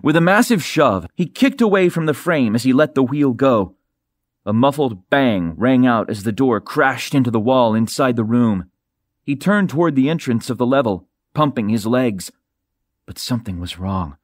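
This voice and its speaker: A man reading an audiobook as a narrator, with dramatic, expressive and suspenseful delivery, close to a studio microphone. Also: mid-range voice, moderate pace, no room sound. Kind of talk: dramatic scripted fiction narration.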